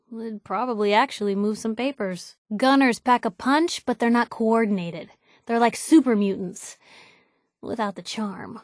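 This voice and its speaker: A woman's voice: medium pitch, no room sound, clean studio sound, lightly acted. An actress playing a plucky young woman reporter, a video game companion with a wry, conversational, sardonic way of talking.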